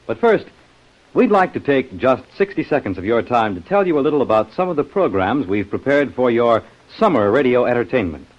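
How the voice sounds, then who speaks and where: A man's voice: medium pitch, lo-fi sound. An American man working as a polished, formal radio announcer and narrator in a scripted 1950s style.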